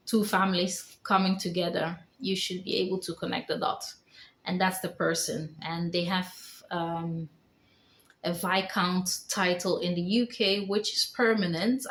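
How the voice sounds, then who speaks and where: Earnest Dutch-accented woman, echoey room. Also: lowish voice. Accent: light Dutch. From Netherlands. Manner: earnest, halting, with many pauses and fillers. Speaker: vlogger. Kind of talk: personal storytelling vlog.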